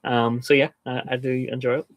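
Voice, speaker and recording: A man's voice: medium pitch, little pitch movement, brisk, dry recording, lo-fi sound. Chatty man with a slight lisp. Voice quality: slight lisp, speaks through teeth. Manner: chatty, laughs often. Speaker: co-host. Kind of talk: casual livestream chat.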